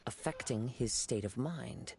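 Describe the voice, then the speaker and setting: A man voicing a calm, perceptive young wandering samurai in a video game, composed, formal and thoughtful. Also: medium pitch, a little slow, gravelly, slight background noise. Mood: measured.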